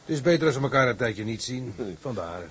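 An actor playing a practical, good-natured and loyal ship's bosun in a Dutch radio drama. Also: male voice, medium pitch, animated, fast talker, a crowd in the background, close to an everyday voice. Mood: matter-of-fact.

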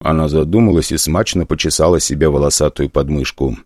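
A man narrating a fantasy audiobook in an expressive, ironic storytelling manner. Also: very deep voice, brisk, dry recording, studio quality, pitched below the performer's own voice.

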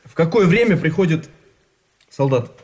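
Higher-pitched, uneasy prisoner played by a man. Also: animated, moderate pace, darkish tone, natural voice. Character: anxious, doomed newcomer among war prisoners. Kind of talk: Russian radio drama acting.

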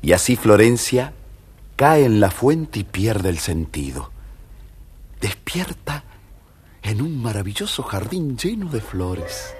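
A man's voice: fairly low pitch, sing-song, slow, a little mellow, a little hiss or hum. A man narrating a scripted children's fairy tale as storyteller, theatrical, firm and explanatory.

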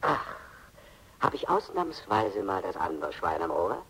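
A man's voice: sits low, sing-song, a little slow, fairly bright tone, slight background noise, full character voice. An actor playing a menacing extortionist in a radio crime drama, mocking his victims with savoured mock-politeness. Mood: mocking menace. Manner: sardonic mock-politeness, savouring each threat.

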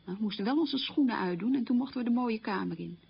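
Radio drama role: haunted woman recalling wartime hunger, very breathy with gravelly creak, reflective, halting delivery. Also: fairly high pitch, animated, highs cut off, heavily acted. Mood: vivid recollection. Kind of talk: radio documentary-drama monologue, reminiscing.

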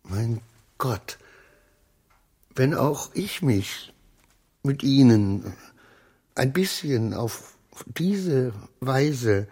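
An actor in a German radio play playing an agitated, servile, self-righteous gentleman who stammers, repeats himself and pleads in a husky voice. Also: mid-range voice, lively intonation, very slow, long pauses, dry recording, heavily acted. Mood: bitter envious muttering. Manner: stammering, repetitive, pleading, swinging from muttering to outbursts.

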